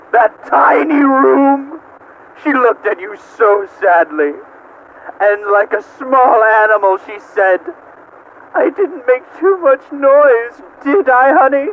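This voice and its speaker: A man playing a sadistic, hate-consumed supercomputer that mocks like a cruel god, in a theatrical, menacing monologue with drawn-out words, as an amateur voice acting reading. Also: very high-pitched, gravelly, full character voice. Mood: cruel taunting. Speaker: amateur voice actor. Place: at home. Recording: dull lo-fi home recording, faint noise.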